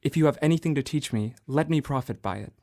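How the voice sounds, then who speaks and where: A man's voice: on the high side, fast, mellow, lightly acted. A man playing an old miser in a student radio drama, speaking in an earnest, pleading way. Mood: humbled.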